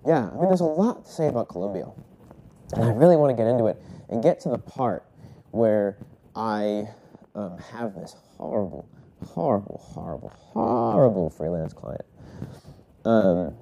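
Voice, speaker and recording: A man's voice: lowish voice, gravelly, mellow, a little hiss or hum. A man recovering from a cold, congested and coughing, rambling casually through his solo podcast with many fillers and self-corrections. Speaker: podcast host.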